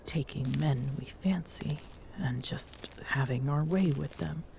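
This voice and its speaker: Give a very slow, dark-toned Amazonian queen, acted by a woman for an intimate ASMR roleplay. She is haughty yet smitten, murmuring formal endearments with coy hesitations in a soft, breathy voice that often sinks toward a whisper. A faint noise sits behind her. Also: low-pitched, exaggerated voice. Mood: earnest, murmuring. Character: smitten, regal Amazonian warrior queen, teasing and possessive.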